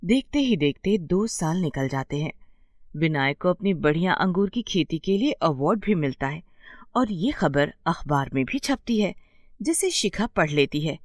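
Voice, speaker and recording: Female storyteller for scripted bedtime tales: lively, varied intonation, dry close recording. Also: fairly low pitch, normal speed, no echo.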